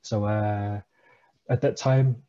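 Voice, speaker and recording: A man's voice: fairly low pitch, steady tone, slow pace, mellow, highs cut off. A man, a guest talking hesitantly over a headset on a video call from a small room. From England. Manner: hesitant, many uh fillers, thoughtful. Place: small room at home, indoors.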